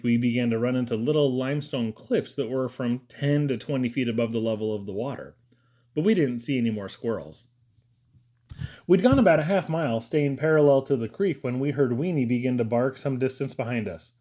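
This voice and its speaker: A man's voice: medium pitch, warm tone. A man reading a first-person story aloud as an audiobook narrator. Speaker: audiobook reader.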